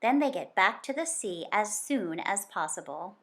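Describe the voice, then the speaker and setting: A woman's voice: medium pitch, lively intonation, normal speed, fairly bright tone. Woman reading a picture book aloud expressively. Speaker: teacher reading aloud to children.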